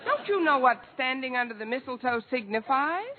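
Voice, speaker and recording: High-voiced wisecracking schoolteacher in old radio. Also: female voice, lively intonation, fairly quick. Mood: dry, wry. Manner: dry wisecracking delivery. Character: wry, quick-witted adult schoolteacher woman, hopelessly smitten. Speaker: lead actress in radio sitcom. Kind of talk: 1940s radio comedy acting. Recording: old muffled radio, noisy, audience laughter.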